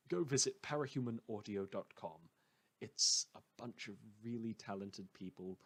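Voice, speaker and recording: A man giving casual spoken credits and recommendations as host of a fan audio show, in a wry, informal way. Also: on the low side.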